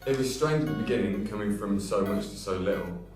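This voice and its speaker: A man in his twenties answering interview questions about volunteering, often pausing with fillers. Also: on the low side, music in the background. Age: 20s. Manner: hesitant, with many uh fillers. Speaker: returned volunteer. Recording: echoey room from far off.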